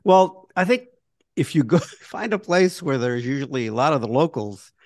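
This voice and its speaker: A man's voice: medium pitch, lively intonation, moderate pace, no echo. A man hosts a podcast in a laid-back, affirming way, with a mid-range voice. His questions come conversationally, recorded close and dry. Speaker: podcast host. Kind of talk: casual podcast interview.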